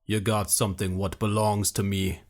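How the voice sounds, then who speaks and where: A gruff, coarse warrior growls out blunt words in a low, grumbling voice, as a man reads him aloud. The voice sits deep and the delivery is slow and heavy, like a storybook fighter. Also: level tone, a little mellow, close to an everyday voice. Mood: menacing.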